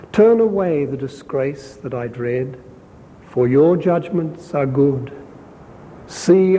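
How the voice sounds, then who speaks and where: A man with a mellow, soft-edged voice pitched a little high for a man reads scripture at an unhurried, deliberate pace. He pauses now and then to explain things to his listeners like a Bible teacher, and his voice trails off into a long hall reverb. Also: slight background noise. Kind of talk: slow scripture reading with brief commentary.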